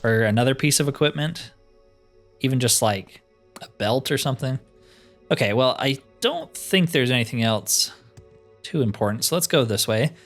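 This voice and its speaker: A man's voice: medium pitch, lively intonation, normal speed, fairly bright tone. A man giving casual gameplay commentary, thinking aloud with dry, joking asides. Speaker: gamer narrating a roleplay playthrough. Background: game music.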